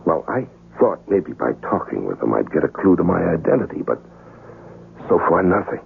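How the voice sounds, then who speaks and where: Deep-voiced, gravelly, earnest amnesiac man. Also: very animated, normal speed, exaggerated voice. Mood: troubled, confiding. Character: earnest amnesiac man, lonely and searching for his identity. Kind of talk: 1970s radio drama acting, narration and dialogue. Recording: muffled vintage radio recording, faint music.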